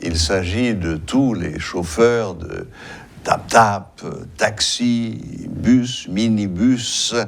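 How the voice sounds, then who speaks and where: A man hosting a TV talk show, speaking hesitantly with many pauses. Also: fairly low pitch, gravelly, a little hiss or hum. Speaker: host.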